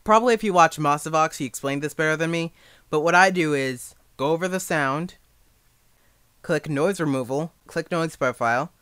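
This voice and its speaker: Young man, higher-pitched voice, dry close sound. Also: a bit bright, smooth, dry recording. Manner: rambling, halting, self-deprecating. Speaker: amateur voice actor giving advice. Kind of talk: casual unscripted tutorial.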